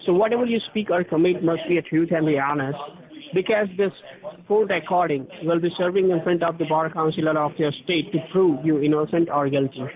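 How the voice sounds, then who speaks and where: Male phone caller, slightly high-pitched, formal and officious, reciting a scripted legal-sounding spiel over a thin telephone connection. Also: a little fast. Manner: formal, officious, step-by-step instructions. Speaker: phone scam caller posing as a tax officer. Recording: tinny phone line with crowd chatter.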